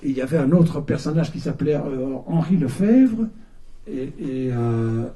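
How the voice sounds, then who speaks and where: An older man giving a talk at a book launch in a bookshop, hesitant, repeating words and pausing with many fillers, recorded from a distance. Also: mid-range voice, expressive, dark tone. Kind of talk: halting talk to a small audience. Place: bookshop, indoors. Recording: distant mic in a room.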